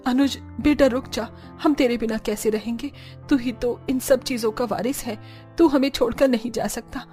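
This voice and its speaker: A domineering, possessive middle-aged mother-in-law from a cartoon, played by a woman. The voice sits fairly high, with clear music in the background. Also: fairly even intonation, normal speed, dark tone, close to an everyday voice. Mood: pleading. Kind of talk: animated story character dialogue.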